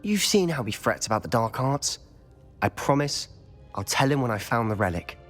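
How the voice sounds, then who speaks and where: A man's voice: mid-range voice, very animated, normal speed, fairly bright tone, faint music behind. A man playing a bold, secretive teenage wizard boy in a video game, speaking in an earnest, insistent, articulate way. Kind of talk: video game cutscene acting.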